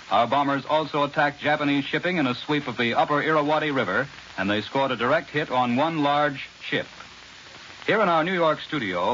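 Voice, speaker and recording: A man with an American accent and a middle-pitched voice works as a 1940s radio news anchor. His delivery is scripted and formal to the medium. The old recording is band-limited, with a faint hiss. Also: a bit bright, dull audio. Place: New York radio studio.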